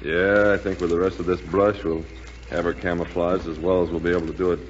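A plain-spoken, sceptical airman, acted by a man with a deep voice. It is 1940s radio acting on a dull, echoing old recording. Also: little pitch movement, brisk, slight background noise, natural voice. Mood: wry, practical.

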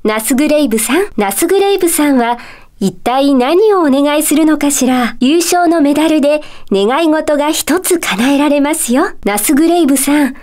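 A gentle female teacher of magic from a puzzle video game. Her voice is very high, and she speaks with courteous, composed phrasing. There is a calm air of mystery to her kindly manner. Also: normal speed. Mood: kindly, polite. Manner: polite, soft feminine phrasing, composed and kindly. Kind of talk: Japanese video game character dialogue.

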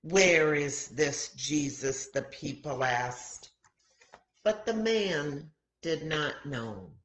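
An American woman in her sixties reads a story for young children. Her voice is low and takes its time, swinging widely in pitch as she dramatizes the tale. The delivery is deliberate and expressive, like a story time host acting out each line of dialogue. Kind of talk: expressive read-aloud of a children's Bible story. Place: home kitchen, indoors. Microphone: webcam or laptop mic at arm's length.

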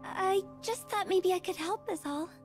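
A woman voicing a shy young woman in a visual novel, with a soft, hesitant, secretive manner. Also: extremely high pitch, normal speed, pitched above the performer's own voice. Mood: hesitant, probing. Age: young adult. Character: shy bespectacled young woman, timid but ominously insistent. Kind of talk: visual novel character reading. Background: background music.

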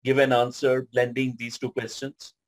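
A man speaks as a panelist on a webcam call, polite and orderly as he lays out two questions in turn. His voice sits at a plain middle pitch. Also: completely flat delivery, normal speed. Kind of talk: feedback on a pitch. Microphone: video call webcam.

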